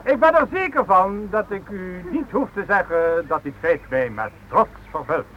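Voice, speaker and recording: A man acting in a radio drama as a wealthy, formal, self-important titled gentleman who speaks in measured, oratorical phrases. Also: high-pitched, lively intonation. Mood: proud, ceremonial. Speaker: actor. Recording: muffled, slightly noisy old radio recording.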